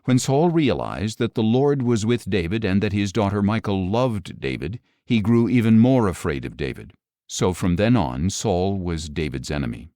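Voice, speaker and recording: Male scripture narrator, lowish voice, very lively intonation, measured storytelling, dry close studio sound. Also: dry recording. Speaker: audiobook narrator.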